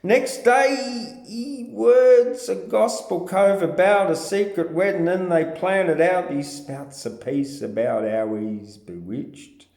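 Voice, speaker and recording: An Australian man giving a theatrical, drawn-out recitation of comic vernacular verse in character as a larrikin. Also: high-pitched, creaky, darkish tone. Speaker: poetry reader. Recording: roomy, echoing sound.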